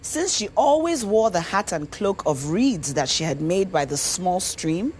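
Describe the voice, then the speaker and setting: Expressive Nigerian woman telling a story. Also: lowish voice, unhurried, a little hiss or hum. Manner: expressive, dramatic. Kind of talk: scripted folktale narration. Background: music.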